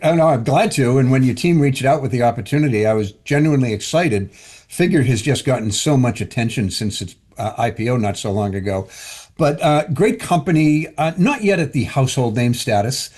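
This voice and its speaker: A man's voice: medium pitch, expressive, brisk, a little mellow. A man hosting an interview podcast for finance executives, talking in a chatty way that often stumbles and restarts. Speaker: podcast host.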